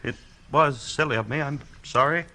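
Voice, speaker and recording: A man's voice: mid-range voice, normal speed, sharp tone. An actor playing a nervous, polite man bewildered by the police, hesitant and stammering, in a 1940s radio drama. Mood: apologetic, bewildered. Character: nervous, polite, bewildered quiet male stranger under arrest.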